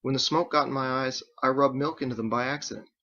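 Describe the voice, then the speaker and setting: A man voicing a nervous, awkward, defensive guy with plain, halting everyday speech for an audiobook. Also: mid-range voice, fairly even intonation, bright, forceful tone, highs cut off. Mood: explaining.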